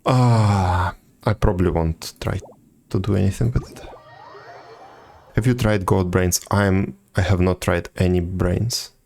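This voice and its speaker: A man livestreaming a video game and chatting casually with viewers, halting now and then and repeating words. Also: sits low, fairly even intonation, creaky, a little mellow. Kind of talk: casual chat with viewers while gaming. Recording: game music behind the voice. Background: video game music.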